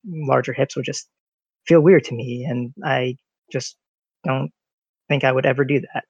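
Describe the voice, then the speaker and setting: Male guest, relaxed storyteller, recorded on dull, dry lo-fi audio. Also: mid-range voice, normal speed, creaky, slightly rough, dry recording. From United States. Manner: relaxed storyteller, many "like" fillers. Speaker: podcast guest. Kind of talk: casual conversation.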